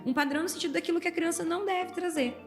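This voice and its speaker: A woman's voice: very high-pitched, racing, soft background music. A woman with a Brazilian Portuguese accent, hosting a school podcast and talking chattily, speaking directly to parents. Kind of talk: casual podcast conversation.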